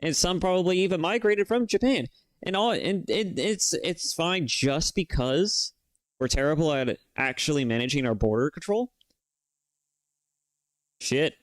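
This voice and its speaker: A man from the United States rants and reflects in loose, casual commentary. His words come out full of swearing, "you know"s and restarts. His voice is clear and resonant, recorded close and dry with no room sound. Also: on the high side, animated, a little slow, no echo. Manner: opinionated and profane, with many fillers and restarts. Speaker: reaction video host.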